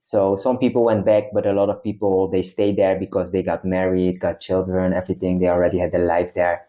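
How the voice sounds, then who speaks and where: A man's voice: sits low, flat delivery, brisk, warm tone, lo-fi sound. A young man from the Netherlands, a guest in casual chat, explaining at length with many hesitations.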